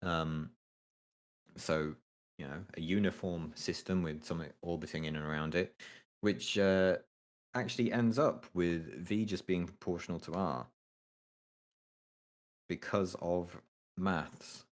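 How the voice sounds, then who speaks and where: A young man with an extremely deep, low voice talks through physics equations. His casual delivery is halting and full of ums, with the odd swear word. He sounds offhand about the material. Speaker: co-host explaining astrophysics. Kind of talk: casual study chat.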